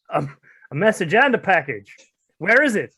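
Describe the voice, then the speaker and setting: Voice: medium pitch, bright, forceful tone, breathy edge, full character voice. A performer playing a self-important, melodramatic news anchor who babbles and stretches words for emphasis in a comic radio play. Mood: impatient, melodramatic.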